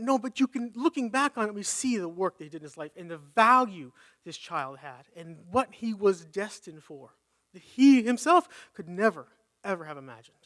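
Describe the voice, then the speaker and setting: High-voiced man preaching a casual sermon. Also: a bit bright. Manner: informal, thinking aloud, self-correcting. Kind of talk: conversational sermon with Bible reading. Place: church sanctuary, live service.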